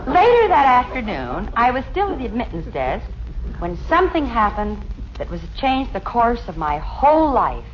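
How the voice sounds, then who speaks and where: A woman's voice: on the high side, huge pitch swings, moderate pace. A woman playing a wistful nurse who narrates her life story as old radio melodrama. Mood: melodramatic. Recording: very noisy, muffled 1950s radio recording.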